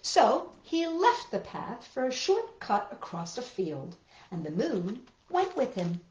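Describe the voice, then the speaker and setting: A middle-aged woman hosting a children's storytime, with an expressive, storytelling way of talking, recorded in a living room. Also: fairly high pitch, a little hiss or hum. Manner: animated, expressive, deliberate phrasing when reading. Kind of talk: storytelling for children, talk and picture-book reading. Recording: roomy echo, dull lo-fi sound.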